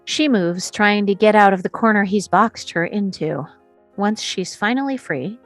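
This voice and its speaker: A woman's voice: medium pitch, normal speed, no room sound, soft background music. A woman narrating scene headings and action descriptions as scripted narration in a table read.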